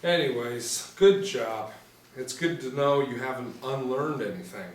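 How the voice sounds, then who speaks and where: A man's voice: mid-range voice, measured pace, natural voice. A man playing a smug, menacing crime henchman at a script table read. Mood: smug, threatening. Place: small room indoors. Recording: roomy, distant mic, faint noise.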